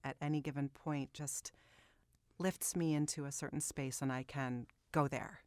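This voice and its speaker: A woman's voice: low voice, fairly even intonation, moderate pace, a little mellow, dry recording. A woman speaking as an actress in a casual interview, earnest and reflective, in a sound studio.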